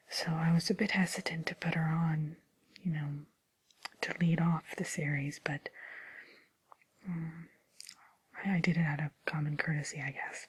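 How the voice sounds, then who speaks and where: A woman hosting an ASMR show, whispering a casual introduction in a soft, hesitant manner. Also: low-pitched, husky, rough recording.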